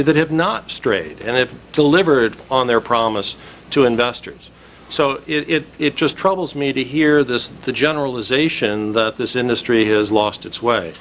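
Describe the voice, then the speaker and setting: Mature male panel commenter, mid-range voice, earnest and measured, slightly defensive, faint noise behind. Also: medium pitch, a bit bright. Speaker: conference commenter, investment consultant. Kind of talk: extemporaneous panel remarks. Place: live conference session. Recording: faint background noise, live event.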